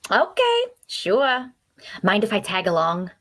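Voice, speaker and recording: Chatty, teasing young female adventurer played by a woman, very animated delivery, folksy and persistent, for fantasy audio drama. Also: mid-range voice, takes it slowly, full character voice. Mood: curious, friendly. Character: chatty, nosy, cheerful young female traveler. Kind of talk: dark fantasy audio drama table read.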